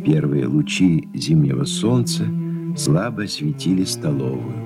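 Very deep male narrator over loud music. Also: expressive, measured pace. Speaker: narrator reading stage directions. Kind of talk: scripted dramatic narration for a radio play.

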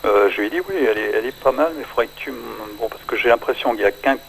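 An older man, a singer-songwriter, talking in a relaxed radio interview, hesitant and full of fillers. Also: medium pitch, husky, poor audio. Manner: hesitant, many pauses and fillers.

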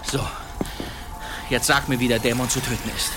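A man's voice: medium pitch, flat delivery, normal speed, natural voice. An actor playing a demanding, distrustful man out to slay a demon in a radio play. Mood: impatient, wary. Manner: impatient, distrustful. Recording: very loud music under the voice.

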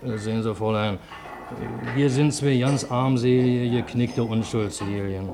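An actor playing a brash, cheeky small-time crook fresh from prison, with a Berlin accent, in a 1950s German radio drama. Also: male voice, medium pitch, steady tone, unhurried, natural voice. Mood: wheedling. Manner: brash, jokey, slangy. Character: cocky scheming male ex-convict, cheeky small-time crook.

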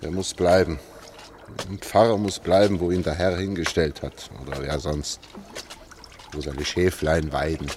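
An actor in a German radio play, playing a terse, sardonic guide on an escape, speaking in a matter-of-fact, ironic way. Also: male voice, low-pitched, fairly even intonation, measured pace, noisy background. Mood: wry, sardonic. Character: terse, sardonic man guiding an escape through sewers.

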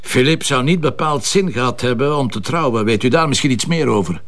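Flemish police commissioner: measured, courteous, sceptical interrogator in a radio play. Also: male voice, medium pitch, expressive, a bit bright. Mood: probing. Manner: measured, formal questioning. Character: male police commissioner, probing, polite, sceptical. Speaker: actor. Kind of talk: audio drama dialogue.

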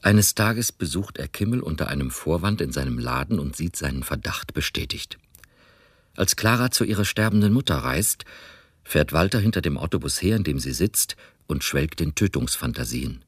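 A man with an extremely low, husky voice narrates a crime story. He reads in a measured, dramatic way, recorded close and dry. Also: lively intonation, no room sound. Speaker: radio play narrator.

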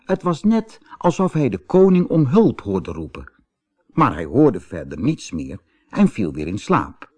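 Very animated male storyteller, unhurried. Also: lowish voice, breathy edge. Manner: expressive, dramatic. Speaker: narrator. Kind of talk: scripted children's audiobook storytelling.

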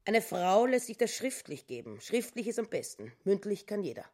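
A woman's voice: medium pitch, big pitch swings, moderate pace, dark tone. An actress playing a stubborn, grasping, self-pitying wife in her fifties in a radio play, nagging in sententious set phrases. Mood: insistent, scornful. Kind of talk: German radio play acting.

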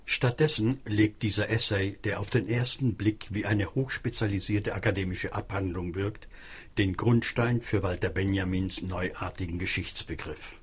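A man's voice: on the low side, fairly even intonation, normal speed, breathy edge. A man reading a scripted narration for an audio documentary.

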